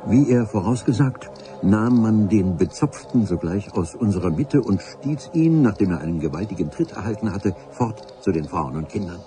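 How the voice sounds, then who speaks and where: A grandiloquent adventure chronicler, played by a man with a low voice. He narrates a radio play in solemn, florid, measured phrases, with music clearly behind him. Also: lively intonation, lightly acted.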